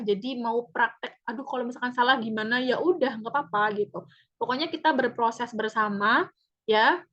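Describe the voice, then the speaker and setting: A woman's voice: fairly high pitch, fairly bright tone. Chatty woman trainer on webinar. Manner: chatty, upbeat, repeats key points. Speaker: public speaking trainer. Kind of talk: webinar sales pitch. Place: online webinar. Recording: dull lo-fi webinar audio.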